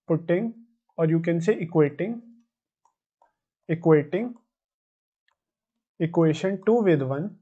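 Young male Indian-accented tutor, high voice, methodical step-by-step explaining, slightly dull lo-fi recording. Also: warm tone. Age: 20s. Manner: methodical, repeats phrases. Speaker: physics teacher. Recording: dull, muffled lo-fi sound.

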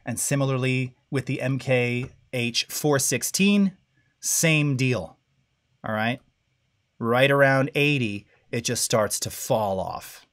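Middle-aged man, low voice, casual live-stream teacher, close studio mic, keeps asking "all right?". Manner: casual, checks in often with "all right?" and "okay?". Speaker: audio masterclass host. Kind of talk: live-stream tutorial talk. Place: home studio with dim blue lighting.

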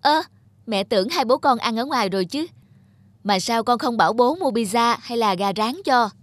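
A woman playing a busy, vain young wife and mother in an animated drama dub. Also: a little high, moderate pace, no echo. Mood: tired, placating.

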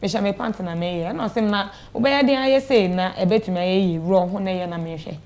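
A woman's voice: mid-range voice, a little fast, a little mellow. A young woman with a Ghanaian accent giving a personal account as a studio interview guest, retelling conversations as she goes. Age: young adult. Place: small TV studio, indoors. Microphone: clip-on lapel mic.

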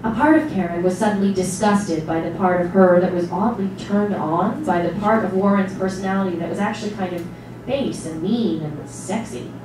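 A woman's voice: mid-range voice, moderate pace. A woman giving a dramatic reading of literary narration on stage. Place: small indoor theatre stage before an audience. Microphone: distant camera microphone. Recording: echoey hall, far microphone, noisy.

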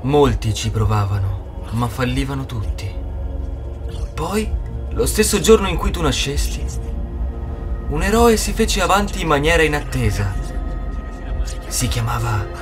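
A man's voice: mid-range voice, sing-song, unhurried, long pauses. Man as a noble knight father, music behind. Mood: proud. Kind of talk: animated film fandub storytelling.

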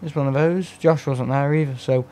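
Hoarse man streaming a game. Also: mid-range voice, fairly even intonation, normal speed, warm tone. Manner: rambling, frequent throat clearing. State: hoarse with a cough. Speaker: gaming streamer. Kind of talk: casual live gameplay commentary.

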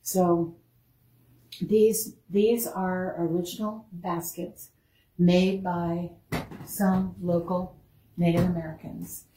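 A woman's voice: fairly low pitch, flat delivery, slow, mellow. Chatty woman curator in an echoey room. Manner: chatty, lingers on exhibits, laughs easily. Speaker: museum curator giving a tour. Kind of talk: casual guided tour talk. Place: indoor museum room. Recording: roomy, distant mic, faint noise.

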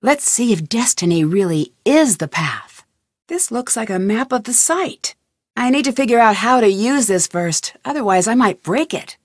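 Curious young girl detective, bright pitch, animated delivery, dry booth sound. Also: normal speed, dry recording. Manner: thinking aloud, inquisitive, lightly wry. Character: young female amateur detective, curious and determined. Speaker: video game protagonist. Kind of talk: studio game voice lines. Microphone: close studio mic.

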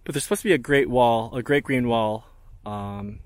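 A man's voice: mid-range voice, normal speed, dry recording. A man giving casual, off-the-cuff travel vlog commentary, chatting informally and thinking aloud. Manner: chatty, informal, speculating aloud, occasional swearing. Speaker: travel vlogger. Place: on location, outdoors and aboard a train. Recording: noisy handheld outdoor and travel ambience.

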